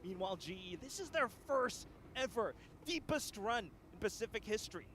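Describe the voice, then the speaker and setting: A man's voice: high voice, lively intonation, moderate pace, fairly bright tone, slight background noise. A man commentating live on an esports match, mixing play-by-play with analysis.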